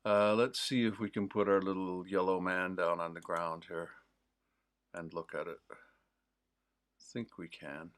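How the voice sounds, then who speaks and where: A man with a mild Newfoundland accent, hosting a livestream in a casual, rambling, digressive chat full of pauses. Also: low-pitched, flat delivery, a bit bright. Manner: digressive, with many pauses and fillers. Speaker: host of a livestream.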